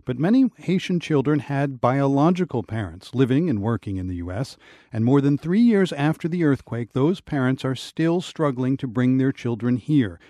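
A man hosting a radio news program, reading scripted introductions in a measured, even delivery. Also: medium pitch, dry recording.

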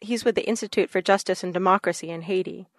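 Low-voiced woman reporting a news story. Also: fairly even intonation, fast talker, no echo, clean studio sound. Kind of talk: narrated radio news story.

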